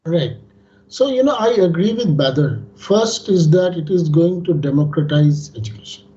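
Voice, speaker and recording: A man who is a native Bengali speaker, making structured points on a panel in a measured, emphatic lecturing way. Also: fairly high pitch, lively intonation, mellow. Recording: dull lo-fi audio.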